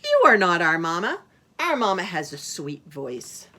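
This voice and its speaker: A wary little storybook goat kid, acted by a woman. The voice is raised and childlike, with emphatic, lively delivery. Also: a little high, a little slow, voice pushed up. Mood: defiant. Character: wary young goat kids, brother and sister.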